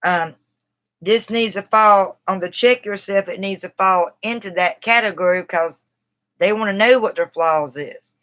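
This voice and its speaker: Bright-voiced Southern woman chatting casually. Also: mid-range voice, a little slow. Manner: loose, rambling, talks to viewers by name. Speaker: writer discussing a book on a livestream. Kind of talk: casual livestream talk. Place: outdoors.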